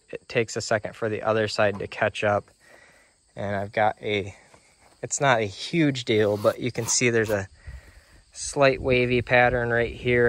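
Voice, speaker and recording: Laid-back man filming his homemade sawmill. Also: lowish voice. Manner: relaxed, self-deprecating, chuckles at his own mistakes. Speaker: DIY sawmill builder. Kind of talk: casual walkthrough vlog. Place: outdoors in a grassy yard by a sawmill trailer. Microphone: handheld phone camera, first-person.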